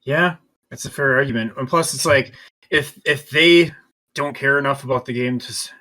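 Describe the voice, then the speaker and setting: A man's voice: medium pitch, normal speed, sharp tone. A man co-hosting a podcast, talking casually and informally as he agrees and adds his points. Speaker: podcast co-host. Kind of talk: casual discussion.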